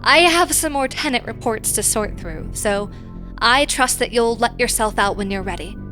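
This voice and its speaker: A woman's voice: a little high, normal speed, pushed and bright. A woman playing a composed, businesslike boss in an audio drama, with formal, dry phrasing. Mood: dryly amused. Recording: faint music behind.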